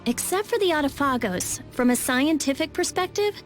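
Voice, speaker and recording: An actress voicing a brainy, blunt teenage girl scholar in a video game, brisk and matter-of-fact. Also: high voice, lively intonation, faint music behind. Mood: analytical.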